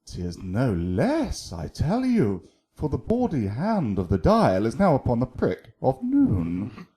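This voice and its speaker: A man playing a young, mocking, bawdy gentleman wit in a theatrical, teasing manner, in a Shakespearean radio drama. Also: medium pitch, huge pitch swings. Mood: playful teasing. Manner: theatrical, teasing, drawn-out flourishes.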